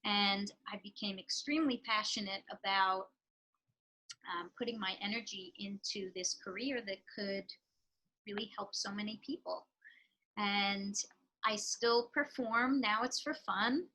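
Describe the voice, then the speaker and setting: A woman with a New York accent answers interview questions in a bright, forceful voice. She talks in an earnest, reflective way, pausing with fillers as she thinks. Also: mid-range voice, low-quality recording. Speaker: real estate professional.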